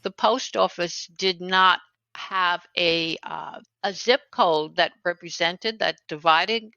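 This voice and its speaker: A woman's voice: on the low side, slow, gravelly, pushed and bright, smooth, dry recording. An older woman from New City, a guest talking casually in an interview, with many fillers and restarts. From New York City. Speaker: guest, author and educator. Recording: dull lo-fi sound.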